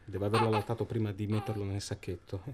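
A man playing a hesitant, troubled, soft-hearted accomplice in a radio drama, speaking in a halting, questioning way. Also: lowish voice, level tone, very noisy, natural voice. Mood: uneasy.

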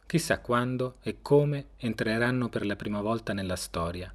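A man's voice: on the low side, moderate pace. A man in his forties giving first-person, reflective scripted narration for a radio documentary. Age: 40s.

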